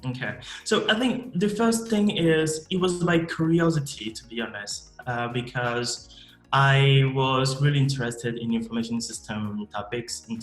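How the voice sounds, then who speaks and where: A man in his thirties gives interview answers in a steady, explanatory way, often restarting his sentences. His tinged carries through an echoey room. Faint music sits in the background. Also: medium pitch. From Cameroon. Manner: measured, explanatory, frequent restarts. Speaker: MBA student guest.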